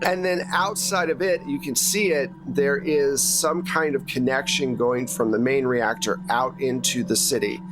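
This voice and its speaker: A man's voice: medium pitch, steady tone, measured pace, few pauses, poor audio. A man working as game master, describing scenes for a tabletop horror game in an improvised, conversational way. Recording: music playing behind the voice. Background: music.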